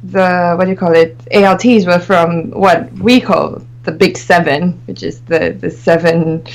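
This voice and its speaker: A woman's voice: fairly low pitch, fairly even intonation, a little slow, poor audio. A young woman from Asia, co-hosting a casual chat and often breaking into giggles mid-sentence.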